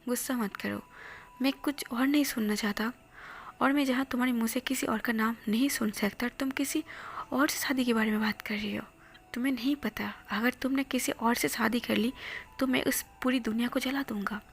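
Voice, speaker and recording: A woman's voice: on the high side, steady tone, moderate pace, gravelly, a little hiss or hum. A woman whispering the lines of a possessive, jealous rich young man in a storybook tale. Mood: pleading. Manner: soft whispering, pleading then menacing.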